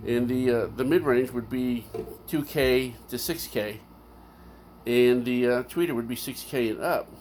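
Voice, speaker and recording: A man's voice: medium pitch, measured pace, darkish tone, slight background noise. A man giving a casual, unscripted, rambling and technical review of his audio gear with enthusiasm.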